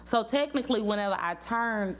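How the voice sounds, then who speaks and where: A woman's voice: fairly high pitch, animated, brisk. A woman in her late twenties chatting informally and teasingly as a podcast host, telling stories and bantering. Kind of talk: casual couple banter and storytelling. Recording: clearly noisy with a hum.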